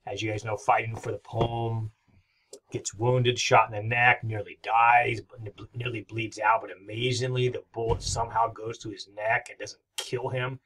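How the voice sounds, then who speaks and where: A man's voice: on the low side, little pitch movement, normal speed, bright, forceful tone, breathy edge, low-quality recording. A middle-aged man giving a recorded history lecture from his home office, talking in a rambling, enthusiastic way with many fillers. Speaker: history professor.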